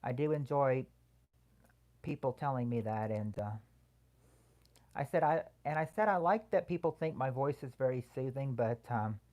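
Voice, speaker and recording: A soothing voice at a middle pitch, belonging to a livestream host who chats casually with viewers. The speech is halting and hesitant. Phrases restart often as the host answers comments one by one. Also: lively intonation, darkish tone.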